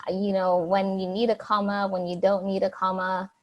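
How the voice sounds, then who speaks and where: Young woman, podcast guest, chatty storyteller with fillers, mid-pitched voice. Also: flat delivery, normal speed. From Jamaica. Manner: chatty, frequent restarts and fillers. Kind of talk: casual interview answers.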